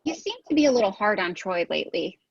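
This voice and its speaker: A woman's voice: medium pitch, big pitch swings, fast talker, vocal fry, husky, no room sound, lo-fi sound, exaggerated voice. A woman reading the part of a caring, concerned young woman in a sitcom table read. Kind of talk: amateur table read acting.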